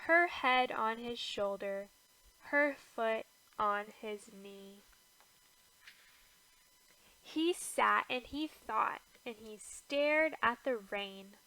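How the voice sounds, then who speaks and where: Bright-voiced woman reading slowly, storybook style. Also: a little high, no echo, rough recording. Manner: expressive, measured rhythmic verse delivery. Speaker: storybook reader. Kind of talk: scripted read-aloud of a rhyming children's picture book.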